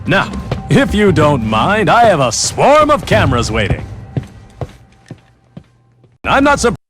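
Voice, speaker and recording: A flamboyant, callous racing anchor in a video game. His high voice swings wildly through showy announcer patter, smug and ratings-hungry, over clear background music. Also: huge pitch swings, unhurried, a bit bright, full character voice. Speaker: race show host.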